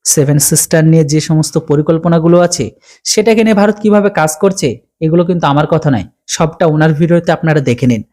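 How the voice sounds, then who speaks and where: Fast-talking Indian Bengali news commentator. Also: mid-range voice, a little mellow. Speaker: commentary channel host.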